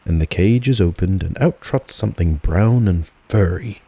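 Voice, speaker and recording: A man narrating a children's storybook as a relaxed audiobook reading. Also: deep voice, moderate pace, gravelly, breathy edge, dry recording.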